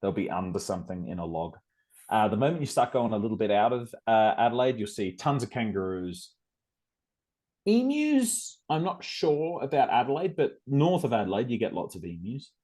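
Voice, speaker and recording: A man's voice: lowish voice, big pitch swings, moderate pace, dark tone. A man from South Australia with an Australian accent, hosting a casual interview and chatting in a rambling way with many fillers. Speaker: podcast host.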